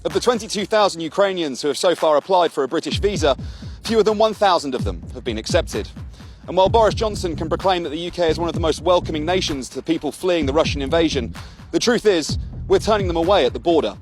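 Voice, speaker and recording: A male reporter reading a scripted news report in a measured, pointed way. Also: a little high, lively intonation, faint music behind.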